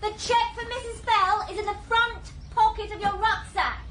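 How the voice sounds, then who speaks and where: A woman's voice: extremely high pitch, steady tone, a bit bright, reverberant, a little hiss or hum. An English actress playing a harried mother who calls out orders to her children. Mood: brisk. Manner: brisk, calling out orders.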